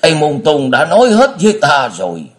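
Man acting a frail, slow, lamenting old prisoner. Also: on the high side, huge pitch swings, pitched above the performer's own voice. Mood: remorseful. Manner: slow, drawn-out, sighing lament. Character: blind, ragged old father prisoner, remorseful and frail.

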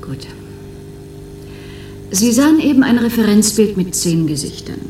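An actress playing a dutiful, cool clinic test examiner in a German radio play. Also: female voice, mid-range voice, big pitch swings, unhurried, mellow, slight background noise. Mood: businesslike. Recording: roomy, echoing studio sound.

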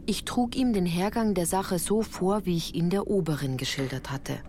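A woman's voice: lowish voice, normal speed, dark tone, a little hiss or hum. A woman reading scripted first-person literary narration for a radio play in a composed, articulate storytelling manner.

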